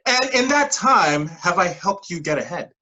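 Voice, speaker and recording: A persuasive scheme recruiter played by an actor in a staged play reading. The voice sits at a middling pitch with a slightly husky edge, pressing insistently in clipped phrases while dodging plain words. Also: lively intonation, moderate pace, pushed and bright, poor audio. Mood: persuasive, intense. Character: smooth-talking, pushy pyramid-scheme recruiter mentoring a junior coworker.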